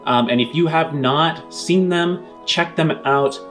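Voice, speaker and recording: A man's voice: a little high, unhurried, fairly bright tone, soft background music. A man hosting a game podcast as game master in a casual, conversational style.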